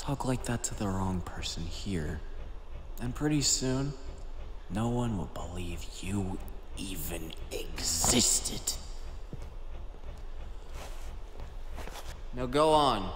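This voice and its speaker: A man acting a cold, calculating crime syndicate operative with a clipped, measured delivery in an audio drama. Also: lowish voice, animated, reverberant, long reverb tail, a little hiss or hum. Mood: menacing.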